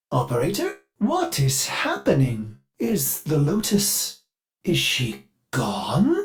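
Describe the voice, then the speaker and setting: A man voicing a fussy, servile ship computer AI in a video game, speaking in a chirpy, formal and theatrical way with an undercurrent of violent glitches. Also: mid-range voice. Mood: worried. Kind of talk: video game character voice lines.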